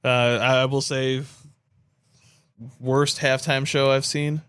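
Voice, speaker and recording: A man's voice: mid-range voice, flat delivery, slow, fairly bright tone, smooth, dry recording, studio quality. A man in casual conversation as a podcast co-host. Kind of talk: casual chat.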